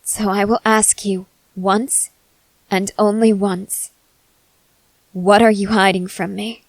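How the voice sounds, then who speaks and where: A woman playing a stern, suspicious young female soldier in a dramatic ASMR roleplay, speaking in terse, deliberate phrases with pauses. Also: mid-range voice, little pitch movement, no room sound, rough recording. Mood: accusing. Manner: slow, deliberate, terse, intense delivery with pauses.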